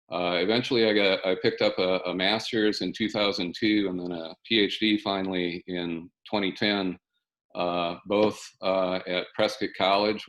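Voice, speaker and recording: A man in his sixties from South Dakota, giving a halting, restart-filled panel talk over a video call from his basement. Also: sits low, poor audio. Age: 60s. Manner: measured, halting, with many restarts. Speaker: panelist, fire scholar. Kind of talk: online panel presentation. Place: home basement.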